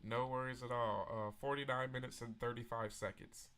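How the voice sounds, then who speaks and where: A man's voice: medium pitch, steady tone, normal speed, fairly bright tone. A man from Memphis, hosting a live stream and chatting casually as he answers viewers' messages. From Memphis, Tennessee. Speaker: livestream host.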